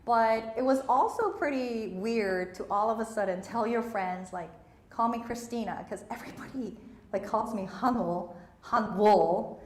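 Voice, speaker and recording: A woman's voice: on the high side, a little slow, darkish tone, echoey room, a little hiss or hum. A young woman, a native Korean speaker raised in Southeast Asia, giving an emphatic motivational talk on stage and repeating her key phrases. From Korea, raised in Malaysia and Singapore.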